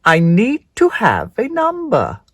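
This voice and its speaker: An enormous hairy spider fairy godmother from a storybook. The voice leaps high and loud, drawing each word out slowly with grand, commanding authority. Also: huge pitch swings, dry recording, studio quality, full character voice. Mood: brisk, demanding. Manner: slow, drawn-out, loud pronouncements.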